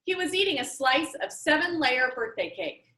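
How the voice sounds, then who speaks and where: Woman reading a kids novel out loud, bright animated voice, kinda echoey room. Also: high-pitched, normal speed. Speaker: audiobook reader. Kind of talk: expressive read-aloud of a children's novel. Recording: roomy echo.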